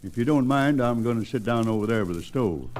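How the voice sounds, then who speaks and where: A weary frontier sheriff mumbles his gloomy remarks in a hesitant drawl, acted by a man. The pitch is medium but moves around a lot, in the style of a 1940s radio Western. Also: lively intonation, brisk, background noise, natural voice. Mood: weary, glum. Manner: hesitant drawling talk with throat clearing. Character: weary, glum frontier sheriff who likes his whiskey.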